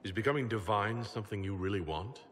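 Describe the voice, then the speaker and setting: A man's voice: lowish voice, fast talker, fairly bright tone, a little hiss or hum, natural voice. A man playing a confident, probing leader in a fantasy video game, with a direct, questioning manner. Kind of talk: dramatic video game dialogue.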